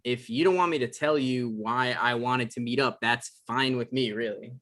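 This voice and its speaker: A man's voice: mid-range voice, moderate pace, a bit bright, lightly acted. A man acting an anxious, easily flustered novelist in a conversational comedy script reading. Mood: awkward, defensive. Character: anxious, defensive male novelist in his thirties.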